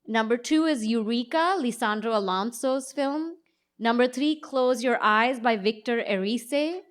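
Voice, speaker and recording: A woman with a fairly high voice co-hosts a live panel event. She talks conversationally as she presents to the audience. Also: measured pace. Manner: speaks to an audience. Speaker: co-host and magazine editor.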